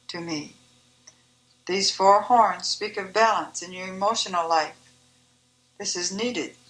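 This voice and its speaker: Slow, bright-voiced woman reading devotional poetry. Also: lowish voice, poor audio. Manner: measured, deliberate, reverent. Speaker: author reading own poem.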